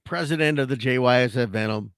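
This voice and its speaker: A hoarse man hosting a sports talk show, ranting in a casual, animated way with frequent restarts. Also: medium pitch, fairly quick, dry recording, studio quality.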